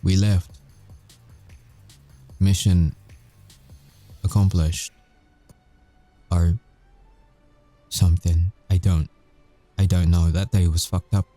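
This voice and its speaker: A man playing a cocky but haunted werewolf soldier, telling his story in a wry, measured way with dramatic pauses, in an ASMR audio roleplay. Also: sits low, flat delivery, warm tone, soft background music. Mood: bitter, weary.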